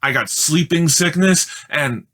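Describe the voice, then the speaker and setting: A man voicing an earnest, excitable young boy in a children's storybook. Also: a little high, unhurried, pitched above the performer's own voice. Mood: breathless excitement. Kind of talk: children's storybook read-aloud.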